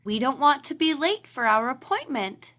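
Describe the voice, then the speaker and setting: A woman voicing a caring mother bear in a storybook, gentle and practical. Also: high-pitched, very animated, moderate pace.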